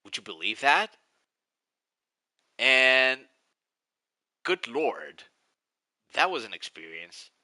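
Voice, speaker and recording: A man's voice: medium pitch, sing-song, slow, pauses often, pushed and bright, no room sound. A man in his forties with a Greek accent, rambling and nostalgic as he chats casually on a live stream. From Greece. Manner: rambling, nostalgic, restarts often.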